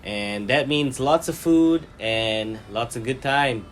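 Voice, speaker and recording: A man answers interview questions in a relaxed, casual way, listing things with many "likes". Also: mid-range voice, slow pace.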